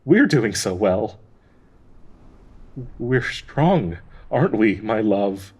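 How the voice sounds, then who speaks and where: A man playing a gentle, devoted dryad tree spirit in an intimate audio roleplay, anxiously hopeful, halting and stammering tenderly with nervous soft laughs in a breathy voice. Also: fairly low pitch, sing-song, heavily acted. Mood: hopeful, encouraging.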